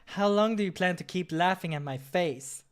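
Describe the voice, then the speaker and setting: A man's voice: sits high, normal speed, smooth, pitched above the performer's own voice, close to an everyday voice. A man voicing an indignant, sassy, easily flustered male cat in a visual novel, scolding and exasperated.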